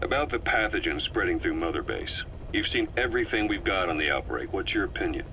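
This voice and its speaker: An actor playing a serious, commanding military officer in a video game, speaking tersely. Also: male voice, low-pitched, big pitch swings, fairly quick, vocal fry, sharp tone, slight background noise, heavily acted, low-quality recording. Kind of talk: dramatic video game dialogue.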